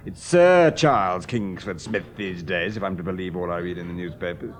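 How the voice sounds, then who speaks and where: A man plays a bitter, hard-drinking pilot husband, defensive and sneering. His voice runs very deep, with a faint hiss under the recording. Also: normal speed, natural voice. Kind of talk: 1970s radio drama acting.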